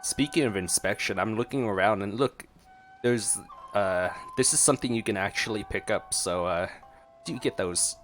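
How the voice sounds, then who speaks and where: A man gives offhand gameplay reactions in a fairly low voice. He is wry and jokey, commenting as he goes, with faint game music behind him. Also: normal speed, fairly bright tone. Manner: wry, joking asides. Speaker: gameplay streamer.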